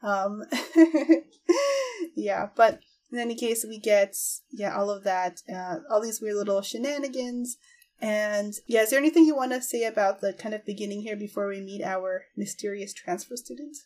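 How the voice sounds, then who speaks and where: Female podcast speaker, average pitch, informal and meandering, laughs easily between phrases. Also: expressive, normal speed, darkish tone. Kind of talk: casual chat, loose recap.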